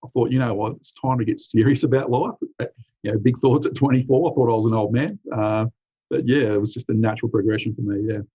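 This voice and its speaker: A man in his forties with an Australian accent, an easygoing veteran telling his story in a relaxed, chatty way. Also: medium pitch, fast talker, breathy edge. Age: 40s. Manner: easygoing, uses "mate", frequent "um" and "you know". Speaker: military veteran guest. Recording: dry, slightly dull lo-fi recording.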